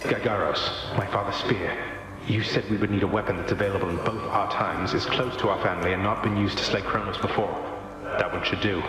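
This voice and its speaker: An actor playing a young underworld prince in a video game, a wry, casual and rebellious brother who speaks in dry understatement, with music behind him. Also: male voice, lowish voice, fairly even intonation, a little fast, natural voice. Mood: wry, confident. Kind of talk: video game character dialogue. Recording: very echoey with long reverb, music behind.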